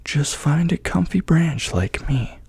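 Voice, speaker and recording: A man voicing a helpful, easygoing little storybook bird in a hushed, breathy, coaxing way. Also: fairly high pitch, animated, slow, no room sound, voice pushed up, heavily acted. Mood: sleepy, coaxing. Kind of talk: soft-spoken ASMR storybook reading.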